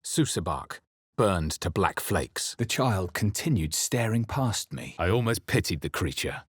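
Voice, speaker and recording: Game hero: grave male adventurer, deep and rough-edged, theatrical, measured, recorded dry and close. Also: lively intonation, no echo, exaggerated voice. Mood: grave, brooding. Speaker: video game player character. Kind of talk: dramatic fantasy game narration, barks and spell incantations.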